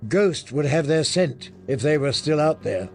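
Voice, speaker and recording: A grim young commander of a frozen fortress, curt and decisive, with a gravelly edge to every slow, firm command, as a man reads him in an audiobook. Faint music plays underneath. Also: fairly high pitch, animated, a little mellow.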